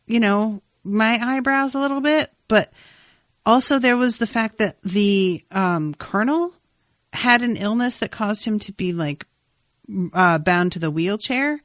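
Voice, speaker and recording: A woman's voice: mid-range voice, a little slow, fairly bright tone, no room sound. A woman co-hosting a casual recap podcast, slangy and sarcastic, with an incredulous, exasperated way of talking. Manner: informal, slangy, swears casually. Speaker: podcast co-host. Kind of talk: casual TV episode recap chat.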